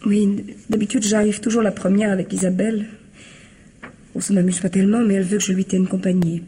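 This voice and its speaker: An actress as a mellow-voiced, hesitant widow. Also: female voice, medium pitch, flat delivery, a little fast, slight background noise, lightly acted. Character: earnest, hesitant young widow confiding in police. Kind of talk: French radio mystery drama acting.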